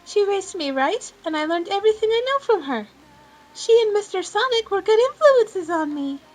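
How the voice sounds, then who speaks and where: A woman's voice: extremely high pitch, expressive, normal speed, pitched above the performer's own voice, poor audio. A woman voicing a sweet, polite young girl rabbit in a fan-made cartoon question show. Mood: polite, earnest.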